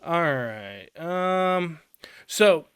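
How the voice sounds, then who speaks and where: A man's voice: on the high side, big pitch swings, very slow, fairly bright tone, clean tone, no echo. A man hosting a casual let's-play, explaining in a chatty way with fillers and asides. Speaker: game channel host.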